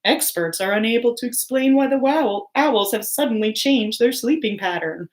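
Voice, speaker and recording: A woman's voice: a little high, moderate pace, pitched above the performer's own voice, close to an everyday voice. A woman voicing a male TV newscaster in a storybook, announcerly and lightly amused. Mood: announcing. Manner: announcerly, presentational.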